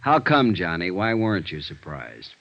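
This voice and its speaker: An American actor playing a tired, exasperated police sergeant in a 1950s radio crime drama, gruff and pressing. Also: male voice, low voice, wildly swooping pitch, moderate pace, exaggerated voice. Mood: weary, insistent. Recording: dull, noisy vintage radio recording.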